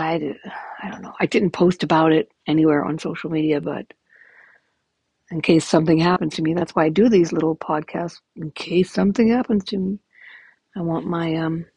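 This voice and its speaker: Raspy, gravelly-voiced woman in her fifties. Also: fairly low pitch, mellow, no echo, poor audio. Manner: rambling, candid, frequent ums. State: hoarse from illness. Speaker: podcast host. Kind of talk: casual personal update.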